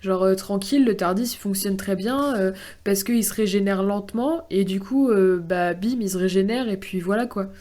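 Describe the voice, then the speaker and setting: A woman's voice: medium pitch, moderate pace, few pauses, mellow. A woman on a podcast panel, chatting casually and thinking aloud with self-corrections. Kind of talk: casual discussion.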